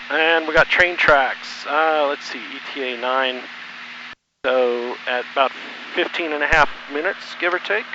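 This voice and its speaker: A middle-aged man giving casual, halting running commentary as a pilot thinking aloud while he flies a small plane, speaking through an aviation headset. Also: a little high, dull audio. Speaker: student pilot flying solo, narrating for video. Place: cockpit of a small plane in flight. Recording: buzzy band-limited headset audio with engine noise.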